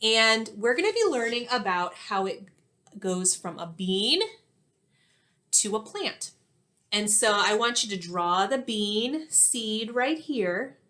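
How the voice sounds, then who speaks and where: Expressive woman teacher reading to children. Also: a little high, takes it slowly. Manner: clear, expressive, instructive delivery for young children. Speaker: elementary school teacher. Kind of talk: children's storybook read-aloud and lesson. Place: small indoor classroom-like room.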